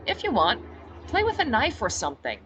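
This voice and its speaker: A woman's voice: on the high side, huge pitch swings, a little fast, a bit bright, dull audio, a little hiss or hum, pitched above the performer's own voice. A woman voicing an irritable, defiant female rebel leader with a blunt, sarcastic manner, in a story reading. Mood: wry, offhand.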